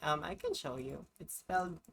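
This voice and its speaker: A woman's voice: low-pitched, wildly swooping pitch, moderate pace, gravelly, a bit bright. A young woman from the Philippines, chatting casually on a live stream, English not her first language, rambling with many ums and little laughs. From Philippines, Benguet region.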